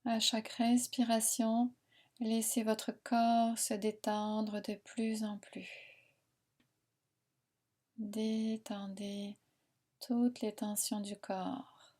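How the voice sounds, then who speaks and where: A woman guiding a meditation in a soothing, unhurried, instructing manner with long pauses. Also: fairly high pitch, flat delivery, a little mellow. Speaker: relaxation and yoga nidra guide. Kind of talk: guided meditation narration.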